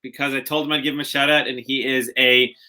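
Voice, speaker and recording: A man's voice: medium pitch, little pitch movement, quick pace, pushed and bright. A young man co-hosting a podcast, chatting casually with rambling, joking asides and frequent restarts. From America, later lived in Israel. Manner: rambling, joking, self-interrupting. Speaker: podcast co-host. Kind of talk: casual chat.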